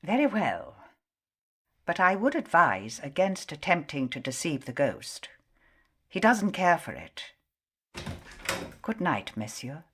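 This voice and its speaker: A woman's voice: sits low, very animated, slow pace, long pauses, a bit bright, husky, full character voice. An actress playing a stern, knowing middle-aged mother at the opera in a radio drama, sardonic and foreboding. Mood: cool, warning. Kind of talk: full-cast radio drama acting.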